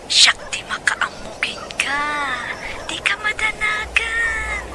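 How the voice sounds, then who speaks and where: High, slow, seductive Ilocano mistress. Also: female voice, expressive, over loud music, heavily acted. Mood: coaxing, flirtatious. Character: coaxing, possessive, seductive mistress. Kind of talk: Ilocano radio drama acting.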